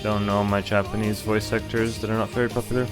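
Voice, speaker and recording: A man's voice: on the low side, little pitch movement, quick pace. A man giving casual live commentary as a game streamer, muttering wry, mildly exasperated asides. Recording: music playing behind the voice. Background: video game music.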